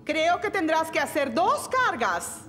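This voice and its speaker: A woman's voice: very high-pitched, sing-song, unhurried, full character voice. A woman voicing an exasperated, scolding shopkeeper mother in a Latin American Spanish children's cartoon dub, with a stern, emphatic delivery. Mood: scolding, firm. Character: exasperated, scolding mother who keeps a village shop. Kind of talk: animated children's series dub. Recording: music laid under the dialogue.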